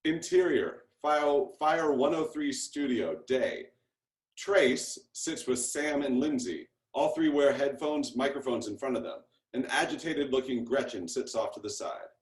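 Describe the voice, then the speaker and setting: A man's voice: mid-range voice, a little slow. A man reading scripted stage directions as narrator at a table read over a video call. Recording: echoey room on a video call.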